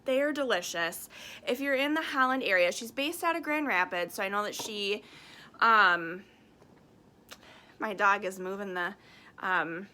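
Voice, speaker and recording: A woman speaking casually and reading a picture book aloud to children in an expressive, friendly way. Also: mid-range voice, unhurried, pauses often. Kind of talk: casual chat and children's storybook read-aloud.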